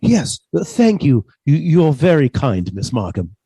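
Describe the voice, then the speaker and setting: An actor playing a mild, henpecked older botany professor with a halting, polite manner in a radio suspense drama. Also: male voice, a little high, sing-song, dark tone, breathy edge, dry recording, exaggerated voice. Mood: shy, courteous.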